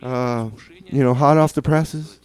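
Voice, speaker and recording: A man's voice: mid-range voice, fairly even intonation, darkish tone, smooth, low-quality recording. Rambling, hesitant male radio DJ. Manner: hesitant, rambling, halting with repeated words. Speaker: community radio music show host. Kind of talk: casual radio DJ chat with deadpan comedy. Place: small community radio studio.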